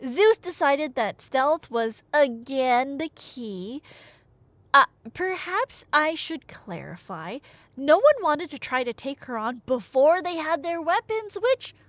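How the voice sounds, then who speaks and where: A woman's voice: high-pitched, takes it slowly, dry recording. A woman telling myths in a casual, rambling roleplay style, nerdy and slangy, often cutting in on herself with asides. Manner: nerdy, slangy, animated, self-interrupting asides. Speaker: audio roleplay performer and storyteller. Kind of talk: casual, rambling comic myth retelling in audio roleplay.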